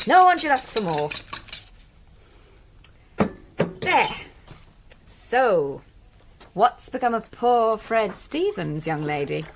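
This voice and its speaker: An actress playing an outspoken, headstrong married farm woman in a radio drama, homely and expressive. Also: on the high side, takes it slowly, full character voice. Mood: brisk, contented. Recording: clear background noise of scene ambience.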